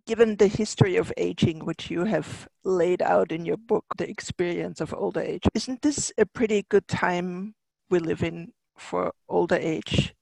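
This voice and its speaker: A male interviewer asks questions in a measured way. His voice is high for a man, with a gravelly edge, and it sounds dry and close. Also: a little mellow, dry recording, rough recording. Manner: measured, reads listener questions.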